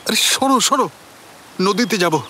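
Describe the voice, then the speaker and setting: A man's voice: high-pitched, expressive, unhurried, sharp tone, slight background noise, exaggerated voice. An actor playing an obsessed middle-aged family man who recounts a haunted pursuit in a theatrical, intense suspense narration. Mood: urgent. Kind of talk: dramatic first-person audio story narration.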